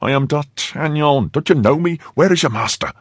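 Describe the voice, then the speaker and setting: Man acting impetuous young swordsman, agitated, urgent, dramatic, animated pitch, audiobook character. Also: moderate pace, breathy edge, dry recording, studio quality. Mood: commanding.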